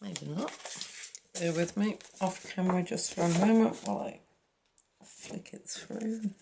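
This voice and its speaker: A woman's voice: lowish voice, unhurried, vocal fry, background noise. A woman hosting a crafting tutorial, chatting casually and with self-deprecating asides while she works at a table. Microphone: overhead camera on the work, speaker off frame.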